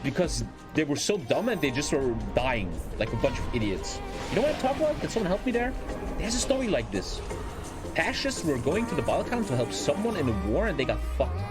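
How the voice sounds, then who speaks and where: Animated German-accented man over loud music. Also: fairly high pitch, fairly quick. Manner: rambling, heavily sweary, blunt. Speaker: live streamer. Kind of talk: casual livestream chat over gameplay.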